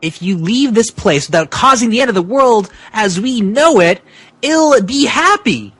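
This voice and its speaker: A man voicing an excitable, fearful friend in a dramatic fan fiction reading, with a husky, excited delivery. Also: sits high, a little slow, dry recording, heavily acted. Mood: anxious warning.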